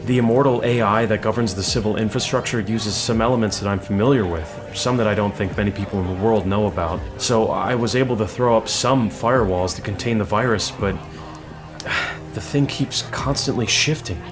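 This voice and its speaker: A weary male hacker, played by a man, speaks candidly in a rather low voice, hesitant and understated. It is naturalistic audio drama with clear music underneath. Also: normal speed, lightly acted. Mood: weary, grim. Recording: music behind the voice.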